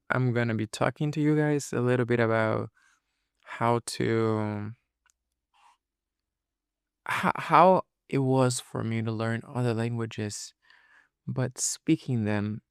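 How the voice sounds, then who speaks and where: A man's voice: medium pitch, fairly even intonation, unhurried, clean tone, dry recording, clean studio sound. A man whose first language is Portuguese, hosting a casual solo podcast and explaining things informally to listeners, often checking that they follow. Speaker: podcast host and English teacher.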